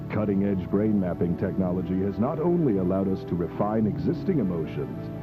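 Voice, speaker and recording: Mock-commercial pitchman, man acting: polished male sell, very animated delivery, dull lo-fi sound. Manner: salesy, polished. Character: slick male infomercial pitchman for an eerie product. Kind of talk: TV commercial voice-over. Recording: muffled lo-fi broadcast with music. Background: music.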